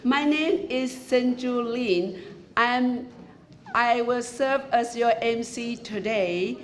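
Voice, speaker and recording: A woman whose first language is Chinese, speaking English with a Chinese accent as master of ceremonies, giving a formal speech in careful, halting phrases. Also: fairly high pitch, a little hiss or hum.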